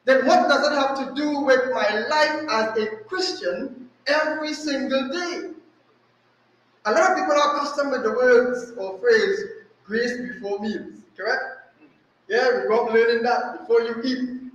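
A man's voice: very high-pitched, fairly bright tone. A middle-aged man with a Trinidadian accent preaching a sermon at a lectern stand mic, emphatic, with rhetorical questions and repetition. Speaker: resident preacher. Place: small church room, indoors. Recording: very echoey room.

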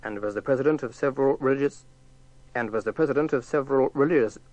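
A man reading scripted narration, halting and stumbling over his words. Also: medium pitch, a little mellow, no room sound, poor audio.

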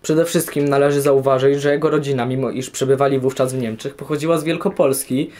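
A man's voice: medium pitch, fairly even intonation, fast, a little mellow. A young man speaking as a guest, formally delivering scripted factual information. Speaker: programme guest. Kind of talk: scripted informative talk, read out.

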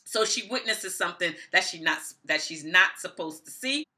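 A woman's voice: on the low side, expressive, moderate pace, bright, forceful tone. A middle-aged woman giving a casual review to camera, stressing her points emphatically and repeating phrases. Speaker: book reviewer, vlogger. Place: small indoor room at home.

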